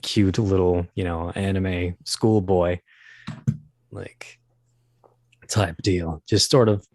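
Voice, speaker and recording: A man chatting casually as an interview guest, telling anecdotes in a halting, thinking-aloud way. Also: low-pitched, flat delivery, a little mellow, dry recording. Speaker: guest, audio drama casting director.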